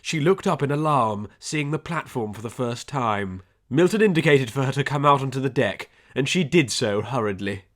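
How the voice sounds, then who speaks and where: A man's voice: mid-range voice, expressive, moderate pace, hardly any pauses. A man reading a scripted adventure story as an audiobook narrator, with dramatic delivery.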